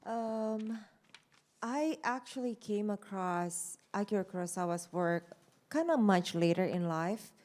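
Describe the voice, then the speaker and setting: A woman's voice: medium pitch, measured pace, darkish tone. A woman from Indonesia, answering at a press conference as a film director, thoughtful and searching for her words.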